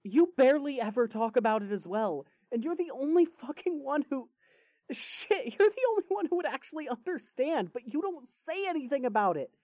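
A woman playing a grieving, foul-mouthed, defensive young man in an audio drama, with halting, vulnerable delivery. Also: sits high, lively intonation, dark tone, no room sound, clean studio sound. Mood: bitter, frustrated. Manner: halting, swearing, raw and vulnerable. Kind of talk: fan fiction audio drama acting.

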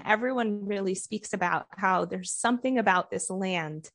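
A woman guest author with an American accent, born in Colombia. She talks in an earnest, heartfelt way at an even, mid-range pitch, leaning on "like" as she thinks aloud. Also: normal speed. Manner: earnest, heartfelt, uses "like" often. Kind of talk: casual interview chat.

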